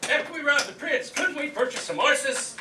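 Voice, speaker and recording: A blunt, grumbling outlaw sidekick, played by a man, speaks in a very high voice. The live amateur radio play is heard from far off in an echoey hall with music behind. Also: expressive, normal speed, noisy background.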